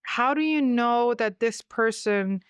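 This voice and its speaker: Smooth-voiced woman hosting a chat. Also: on the high side, takes it slowly, dry recording, clean studio sound. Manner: reflective, asks questions. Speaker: podcast host. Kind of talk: casual interview chat.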